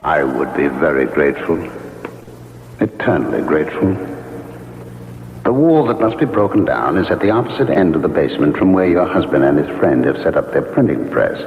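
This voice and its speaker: Mournful gentleman ghost, low and creaky, formal storytelling for vintage radio drama. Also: very animated, a little hiss or hum, heavily acted. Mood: resigned, courteous. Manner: formal, measured, hesitant storytelling. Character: ghost of a murdered, betrayed would-be novelist, gentle and mournful. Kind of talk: classic radio drama acting. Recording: lo-fi vintage radio, long echoing reverb.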